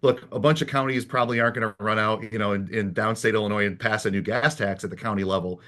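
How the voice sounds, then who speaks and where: Lower-voiced man explaining policy detail. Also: fairly even intonation, quick pace, bright, forceful tone. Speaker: panel guest. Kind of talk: political panel discussion.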